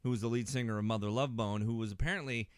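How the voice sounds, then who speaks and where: A man's voice: medium pitch, fairly even intonation, racing, clear, resonant tone, no room sound, clean studio sound. A man chatting casually as a podcast guest, talkative and given to joking.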